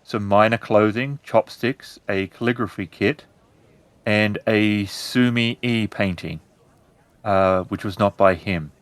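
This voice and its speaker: A man playing a polite, cautious innkeeper in a samurai-era tabletop story, with a deferential, measured way of talking. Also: fairly low pitch, no echo. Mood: guarded, helpful.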